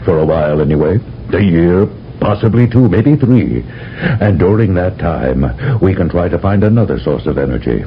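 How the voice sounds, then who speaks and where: An actor playing a grave, wry military general who gives measured orders in a 1970s radio drama. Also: male voice, deep voice, expressive. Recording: dull old radio recording with faint noise.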